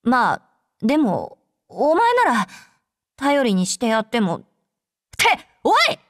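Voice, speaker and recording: An actress playing a brash, hot-tempered young female fighter with rough, curt tomboyish speech, in anime game voice lines. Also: on the high side, huge pitch swings, takes it slowly, dry recording, clean studio sound, heavily acted. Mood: awkwardly softening, then indignant shouting. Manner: rough, curt masculine speech, easily flustered.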